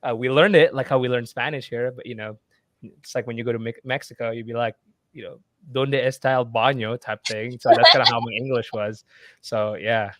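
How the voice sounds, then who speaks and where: Young man from Indonesia, relaxed. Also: mid-range voice, brisk, no room sound. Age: 20s. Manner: relaxed, chatty, many fillers and restarts. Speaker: guest, marketing agency owner. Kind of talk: casual podcast interview.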